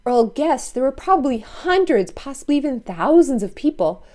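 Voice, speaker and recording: A woman's voice: sits high, sing-song, moderate pace, a little mellow. A woman reading a children's story aloud expressively, with playful asides to listeners. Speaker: storybook read-aloud narrator.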